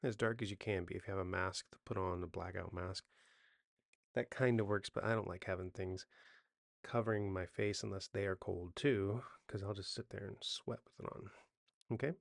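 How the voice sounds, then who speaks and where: Man, 40s, low gravelly voice, dry close sound, relaxed unscripted coach explaining tips and checking in with "right?". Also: moderate pace, no echo. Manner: conversational, self-correcting, often checks in with "right?". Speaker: hypnotist and coach giving sleep advice. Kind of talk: unscripted how-to talk to camera.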